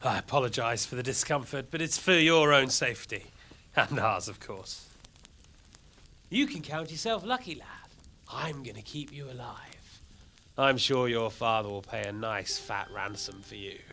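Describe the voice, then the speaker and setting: Theatrical, swooping aristocratic villain. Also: male voice, mid-range voice, expressive, normal speed, bright, forceful tone. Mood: mock-courteous, menacing. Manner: mock-courteous, taunting, theatrical. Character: smug, theatrical, menacing foreign nobleman villain. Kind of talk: video game cutscene acting.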